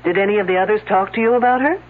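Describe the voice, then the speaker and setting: An actress playing a sharp-tongued woman detective with a dry, sarcastic way of talking. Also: mid-range voice, racing, slight background noise, natural voice. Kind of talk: 1970s radio drama acting. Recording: tinny old radio broadcast.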